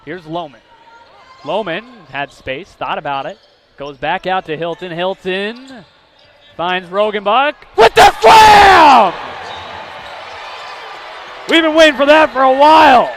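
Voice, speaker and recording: A man calling live basketball play-by-play, rattling off names and stats and shouting on big plays. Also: sits high, expressive, slow pace, long pauses. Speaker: play-by-play commentator. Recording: live gym crowd and court noise. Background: crowd noise and bouncing basketballs.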